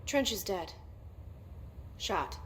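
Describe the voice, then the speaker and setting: Terse, guarded young woman, mid-pitched. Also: animated, extremely slow, fairly bright tone, slight background noise. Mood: reserved, blunt. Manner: terse, matter-of-fact, guarded. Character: composed, reserved young woman, determined newcomer leader. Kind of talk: video game cutscene dialogue.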